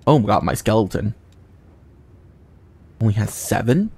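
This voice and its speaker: A man's voice: fairly low pitch, animated, measured pace, a little mellow, husky. A man casually commenting on his video game run, with chatty and exasperated asides. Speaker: gaming channel host.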